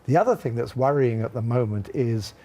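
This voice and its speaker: A man's voice: medium pitch, expressive, brisk, mellow. An older British man giving expert commentary on a news panel, with wry, blunt, colloquial asides. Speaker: former ambassador, studio guest.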